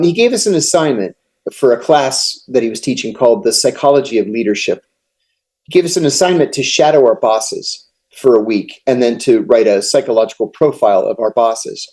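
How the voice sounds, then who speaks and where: A middle-aged American man, a guest on an interview podcast, telling long anecdotes in a measured way. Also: medium pitch, darkish tone. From United States. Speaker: guest, former intelligence officer. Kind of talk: storytelling interview answers.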